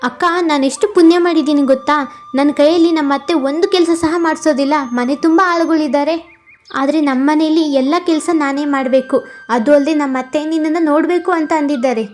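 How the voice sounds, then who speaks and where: A woman voicing a dutiful young bride in an animated storybook, speaking gently and earnestly. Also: high voice, brisk, a little hiss or hum, natural voice. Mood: cheerful.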